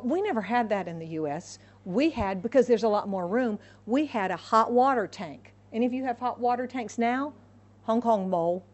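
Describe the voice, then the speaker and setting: A woman from the United States preaching a sermon, emphatic and repeating her key phrases for the congregation. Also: on the high side. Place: church in Hong Kong.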